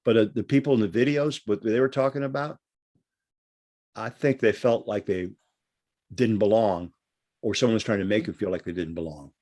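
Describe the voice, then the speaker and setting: A man with a somewhat low voice who speaks slowly and thoughtfully in a group discussion. He is heard over a video call. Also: a little mellow. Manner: slow, thoughtful.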